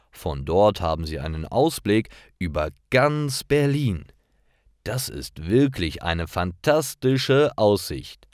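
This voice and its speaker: Male narrator for learners' stories: slow, careful, animated intonation, very dry recording. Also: deep voice, no echo. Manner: careful, clearly separated sentences. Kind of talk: scripted story narration for language learners.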